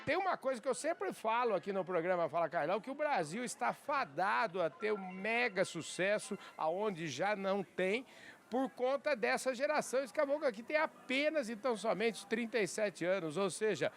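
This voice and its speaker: High-voiced, folksy male talk host. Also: lively intonation, normal speed, fairly bright tone, soft background music. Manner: folksy, chatty, encouraging with short backchannels. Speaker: program host, interviewer. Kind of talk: interview hosting. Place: fair stand.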